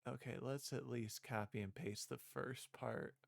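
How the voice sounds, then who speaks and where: A man chatting casually on a livestream, halting and muttering to himself as he works. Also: mid-range voice, steady tone, gravelly, dry recording, studio quality. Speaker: streamer, visual novel creator. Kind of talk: casual livestream chat with read-aloud of own script.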